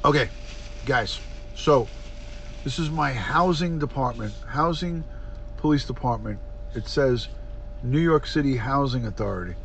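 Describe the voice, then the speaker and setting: A man's voice: medium pitch, lively intonation, a little slow, long pauses, a little hiss or hum, poor audio. A middle-aged man, a retired detective, hosting a casual livestream, chatty and stuffed up, sniffling as he greets viewers by name. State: congested, stuffed-up nose, sniffling.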